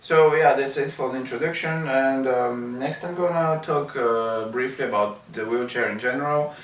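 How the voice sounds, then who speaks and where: Halting man speaking in an echoey room. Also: mid-range voice. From Bulgaria. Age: 30s. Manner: many ums and uhs, unhurried and halting. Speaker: vlogger reviewing his wheelchair. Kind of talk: casual first-impressions review. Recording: echoey room, mic at a distance, faint hiss.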